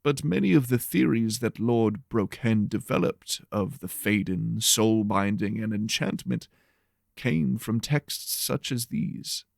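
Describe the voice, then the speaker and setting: A man voicing a grave, scholarly church rector who speaks formally and deliberately in an audio drama. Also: on the low side, vocal fry, no room sound, studio quality. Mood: solemn, explanatory.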